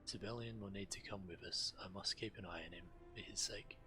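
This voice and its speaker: An actor playing a grave, resourceful shaman in a fantasy video game, with measured, deliberate, serious delivery. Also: low voice, fairly even intonation, sharp tone. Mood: grave, calculating. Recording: game music behind.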